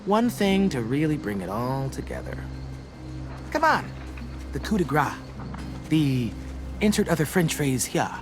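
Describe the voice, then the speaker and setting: A man's voice: a little high, sing-song, unhurried, long pauses. A man playing a wisecracking, dramatic teenage boy survivor in a video game, with an American accent and a playfully theatrical delivery. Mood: excited, joking. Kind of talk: video game voice acting, casual dialogue. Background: background music.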